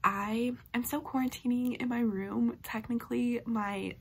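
A young woman in her twenties talks books in her bedroom, upbeat and rambling, her voice a touch hoarse. Her pitch sits fairly high, with a gravelly fry running through it. A faint hiss hangs behind her. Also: fairly even intonation, a little slow. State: slightly hoarse from a cough. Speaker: book vlogger. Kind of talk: casual book review chat. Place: bedroom, indoors. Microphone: webcam facing her at close range.

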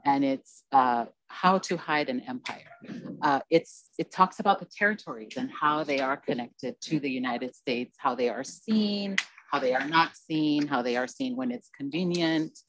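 A woman's voice: very deep voice, moderate pace, no echo, rough recording. A woman on a conference panel, talking in an earnest, meandering way into a handheld mic on stage. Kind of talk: panel discussion.

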